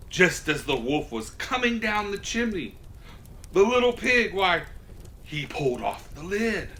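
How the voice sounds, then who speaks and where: A man's voice: sits high, pushed and bright. A man reading a children's story as Santa, in a folksy, theatrical way.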